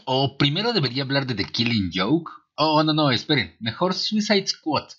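A man's voice: mid-range voice, very animated, normal speed, fairly bright tone, slightly rough, lo-fi sound. A Mexican man giving an informal scripted film review in a sarcastic, slangy, opinionated way. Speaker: video reviewer.

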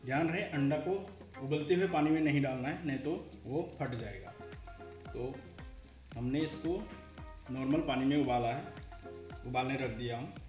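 Male cooking host, 30s, plain instructive delivery, mid pitch, roomy kitchen sound with clear background music. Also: normal speed, long pauses, darkish tone. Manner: instructive, explains each step plainly. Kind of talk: step-by-step recipe narration. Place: home kitchen, indoors. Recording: echoey kitchen with music underneath.

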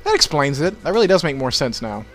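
A man's voice: fairly high pitch, very animated, fast, a bit bright. A man giving joking, crude gameplay commentary as the host of a let's play. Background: video game music.

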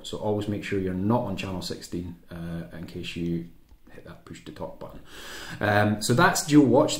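A British man giving a casual, unscripted tutorial indoors, informal and often correcting himself as he explains. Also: on the low side. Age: 30s to 40s. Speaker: host of a how-to sailing channel. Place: small home kitchen or room, indoors. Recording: roomy, echoey indoor sound.

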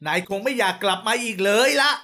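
A woman voicing a small, outspoken, fussy mouse in a children's cartoon fable, with exclamatory, expressive delivery. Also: mid-range voice, fast. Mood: complaining.

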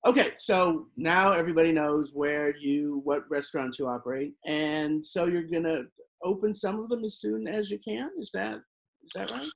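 A man's voice: on the high side, fairly even intonation, normal speed, vocal fry. Middle-aged male interviewer on a video call. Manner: plain conversational questions. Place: home room with fireplace. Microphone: laptop camera on a video call.

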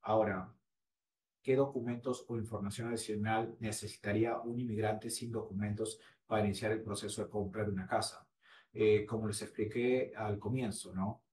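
A man's voice: lowish voice, steady tone, normal speed, a little mellow. Roomy video-call man explaining housing aid. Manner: explanatory, frequent "eh" and "¿no?" tags. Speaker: real estate agent. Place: small room at home. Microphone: laptop webcam. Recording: roomy, distant mic with faint hiss.

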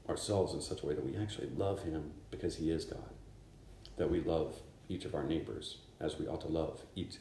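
A man in his fifties, a priest, reading the Gospel and giving a conversational, unhurried homily in a small chapel. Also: rumbling low, dark tone, reverberant, a little hiss or hum. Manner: conversational, unhurried preaching with gestures. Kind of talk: homily at daily Mass. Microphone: camera in front of him.

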